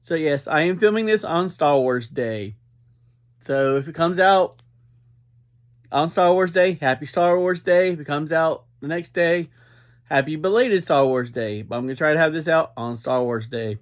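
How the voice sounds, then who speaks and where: A man hosting a casual, rambling review, upbeat and emphatic, who speaks haltingly and gets easily sidetracked. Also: fairly high pitch. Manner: upbeat, energetic. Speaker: podcast reviewer and host.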